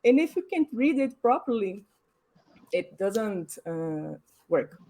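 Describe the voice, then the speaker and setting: A woman, a graphic designer explaining her work on a panel, thoughtful and pausing to find her words. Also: mid-range voice, big pitch swings, mellow, rough recording. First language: Portuguese. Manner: thoughtful, pauses to search for words. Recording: online panel stream.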